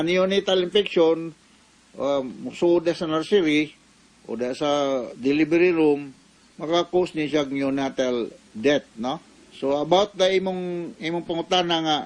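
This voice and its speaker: A man's voice: a little high, poor audio. A man with a Cebuano Filipino accent giving conversational radio advice as a doctor, explaining in a folksy way with frequent tag questions.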